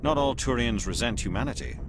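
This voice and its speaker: An actor playing a composed, elite alien operative in a sci-fi game, speaking in a formal, measured, assured way. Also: male voice, mid-range voice, noisy background, lightly acted. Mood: assured, appraising. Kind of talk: cinematic sci-fi game dialogue.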